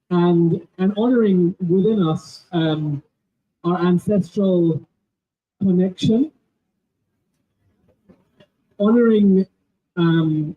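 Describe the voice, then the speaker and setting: Earnest man on a tinny line. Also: high-pitched. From Kenya. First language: Gujarati. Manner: earnest, reflective, halting with restarts and fillers. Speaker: panelist. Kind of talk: panel discussion. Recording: tinny phone-like audio.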